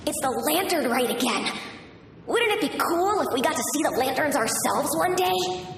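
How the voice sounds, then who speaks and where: Reverberant, far-off game memory: earnest, eager small child, extremely high, music behind. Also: female voice, animated, moderate pace, vocal fry, a little hiss or hum. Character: eager, hungry, good-natured small child. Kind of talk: video game voice acting. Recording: echoey, distant flashback reverb over music.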